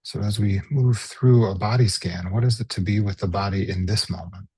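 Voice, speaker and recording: A man guides a meditation in a mellow, lowish voice that is very husky and full of breath. He speaks gently in short phrases with unhurried pauses between them. The sound is close and dry. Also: fairly even intonation, no room sound. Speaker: mindfulness meditation teacher. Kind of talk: guided body scan meditation.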